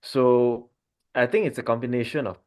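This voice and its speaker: A man from Malaysia talks through a big decision in an easy family chat. He thinks aloud, full of "you know" fillers and restarts, in a voice of ordinary middle pitch. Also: steady tone, moderate pace, darkish tone. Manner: thoughtful, many fillers and restarts. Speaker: podcast co-host, doctor.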